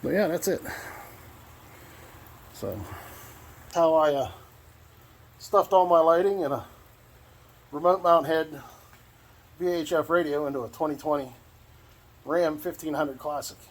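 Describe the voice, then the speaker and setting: A man's voice: fairly high pitch, a little slow, long pauses. An American man giving a casual, unscripted walkthrough in a folksy, rambling way, often repeating himself. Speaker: vlogger showing his truck radio install. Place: outdoors in and around a parked truck. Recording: clear background noise, handheld outdoor video.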